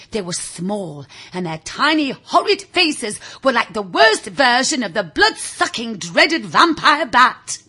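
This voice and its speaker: A woman reading a children's story in a theatrical, confiding way, with a husky, raspy voice, speaking directly to the listener. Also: fairly high pitch, pushed and bright. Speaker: audiobook reader. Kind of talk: animated scripted children's story narration.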